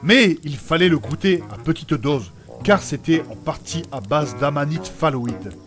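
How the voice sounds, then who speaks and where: An actor playing a learned fantasy priest who explains things in a formal, politely pedantic, storytelling way in a comedy audio drama. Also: male voice, a little high, expressive, measured pace. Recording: faint music behind the voice.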